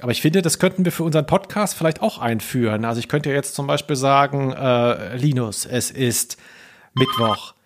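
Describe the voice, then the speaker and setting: A man's voice: medium pitch, normal speed. A man co-hosting a podcast, chatting informally and wryly while thinking aloud. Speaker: podcast co-host. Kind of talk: casual podcast chat.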